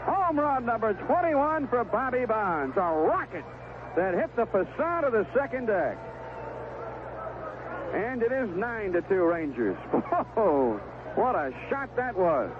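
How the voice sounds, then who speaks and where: An American man calling baseball play-by-play on the radio, in clipped phrases with conversational asides between pitches. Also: sing-song, slow. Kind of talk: live sports commentary. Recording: vintage 1970s AM radio broadcast, tinny, noisy.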